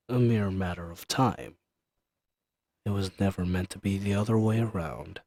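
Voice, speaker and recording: Slow, deep ancient dragon, voiced by a man. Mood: haughty, possessive. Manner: formal, archaic diction, soothing and teasing. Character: ancient male dragon familiar, possessive, seductive, condescending yet tender. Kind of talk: intimate scripted roleplay audio addressed to the listener.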